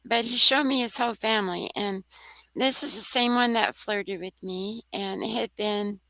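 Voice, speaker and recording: A soft-voiced woman, a guest on a talk radio show, telling a personal story in an earnest, rambling way. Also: mid-range voice, moderate pace, dry recording, poor audio. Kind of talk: casual storytelling.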